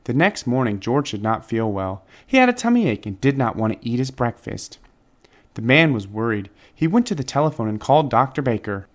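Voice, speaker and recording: A man reading a children's story aloud as narrator, phrasing it deliberately and expressively for young listeners. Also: medium pitch, big pitch swings. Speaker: storybook reader.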